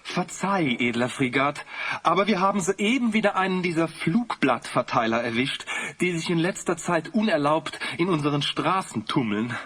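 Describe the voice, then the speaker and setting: Casting: obsequious, courtly officer, husky with lively swoops, formal audio drama delivery. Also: male voice, a little high, normal speed. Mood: deferential. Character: servile, courtly male officer of the ruler. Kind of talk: German audio drama acting.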